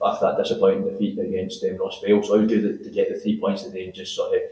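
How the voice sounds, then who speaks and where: Man, flat fast questions. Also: low-pitched, roomy sound, muffled recording. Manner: long rambling questions, flat delivery. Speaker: interviewer for a club channel. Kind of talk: post-match interview questions.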